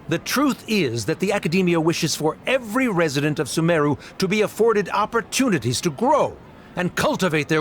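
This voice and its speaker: Condescending scholar, formal, pompous, fairly high voice, video game dialogue, faint noise. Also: male voice. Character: haughty, condescending male academy scholar. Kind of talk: video game dub acting.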